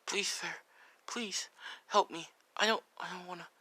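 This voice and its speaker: A man's voice: mid-range voice, fairly even intonation, fast, sharp tone, pitched above the performer's own voice. A man voicing a frightened, meek young runaway boy who pleads and apologises, repeating himself, in amateur storytelling. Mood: desperate pleading.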